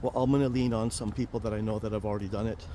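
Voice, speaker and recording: A man hosting a casual vlog while walking outdoors near a highway, chatty and rambling with many fillers and restarts. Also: fairly even intonation, rapid-fire, mellow, a little hiss or hum. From Romania. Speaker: vlog host, financial planner.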